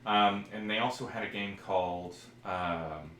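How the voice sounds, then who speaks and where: A man with a very deep voice talks casually as a podcast co-host about old games. He rambles and feels around for details. The room is echoey, with some background noise. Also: unhurried, sharp tone. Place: indoor room, recorded live on stream. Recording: roomy echo, clear background noise.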